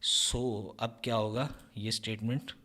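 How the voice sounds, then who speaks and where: Unhurried man teaching code. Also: on the low side, level tone, sharp tone, a little hiss or hum. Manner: explains step by step, checks in with "ठीक है" often. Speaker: programming tutor. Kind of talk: screen-recorded coding lesson.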